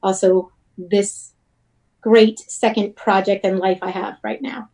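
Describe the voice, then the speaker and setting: A woman's voice: medium pitch, fairly even intonation, slow, noisy background. A woman from Puerto Rico talking casually as an interview guest, explaining her work. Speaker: interview guest, wedding photographer and blogger.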